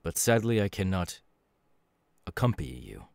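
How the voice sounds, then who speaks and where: A man voicing a calm, knowing demon warrior with quiet menace, in a measured, halting, dramatic delivery for an ASMR audio roleplay. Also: sits low, vocal fry, dry recording, full character voice. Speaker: voice actor.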